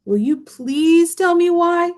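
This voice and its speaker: A woman's voice: extremely high pitch, lively intonation, slow, pitched above the performer's own voice, heavily acted. Woman as a polite, inquisitive, lilting young girl. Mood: puzzled. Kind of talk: storybook read-aloud character voice.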